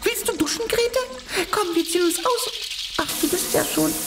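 A woman's voice: very high-pitched, normal speed, creaky. A woman voicing a small, cheerful boy king in a children's cartoon, talking in a childlike, playful way. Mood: chatty. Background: music.

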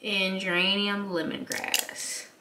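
A woman's voice: medium pitch, flat delivery, slow, noisy background. A young woman in her twenties with a congested, nasal voice from a cold, chatting casually in a rambling way for her hair care vlog, recorded on a phone in a small bathroom. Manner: rambling, lots of fillers, chatty. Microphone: phone or camera on a counter.

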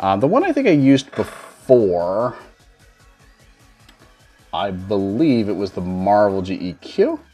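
A man in his thirties giving a casual software tutorial as a streamer, explaining things in an informal, rambling way. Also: lowish voice, huge pitch swings, slow pace, pauses often, slightly rough, soft background music. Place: indoor home streaming setup.

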